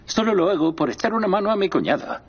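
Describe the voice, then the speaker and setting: A man's voice: high voice, expressive, fast, breathy edge, heavily acted. An actor dubbing a bearded man who brags about his languages and later yelps in pain while stuck under a table. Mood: boastful. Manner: boastful, flustered, yelping. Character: bearded man, boastful amateur cook. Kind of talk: Spanish dub of a TV drama. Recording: slightly dull TV audio over music.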